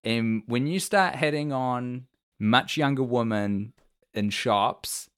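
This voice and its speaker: A man's voice: medium pitch, takes it slowly, a bit bright, smooth. A man with a New Zealand accent, chatting casually as a podcast guest and giving opinions in a wry, mock-earnest way.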